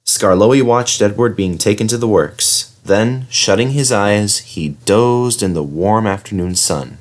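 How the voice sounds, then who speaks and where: A man reading a children's story aloud as an expressive narrator. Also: lowish voice, a little slow. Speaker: storybook reader.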